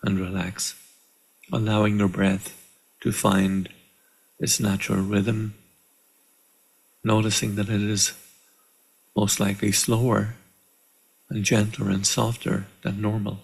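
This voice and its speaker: A man leading a guided meditation in a calm, measured way, with deliberate pauses between short phrases. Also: lowish voice, gravelly, breathy edge, rough recording. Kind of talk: guided meditation, slow and instructional. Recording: live online session.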